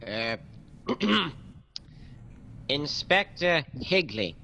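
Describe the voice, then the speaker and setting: Careful police sergeant, slow and bright voiced, radio drama, bit of noise. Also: male voice, on the high side, big pitch swings, creaky, full character voice. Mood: dutiful. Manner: careful, deliberate. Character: deferential, methodical male police sergeant. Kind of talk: radio mystery drama acting.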